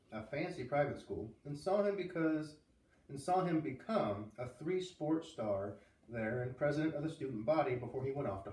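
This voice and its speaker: A man reading a novel aloud in an expressive storytelling style, now and then stumbling and rereading a phrase. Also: a little high. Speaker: read-aloud reader. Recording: roomy echo, distant microphone.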